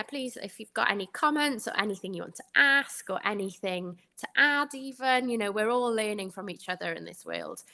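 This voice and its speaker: Gravelly-voiced Welsh woman in her twenties. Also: mid-range voice, bright, forceful tone. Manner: chatty, laughs often, many fillers. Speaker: guest, anaesthetics trainee. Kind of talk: casual interview answers.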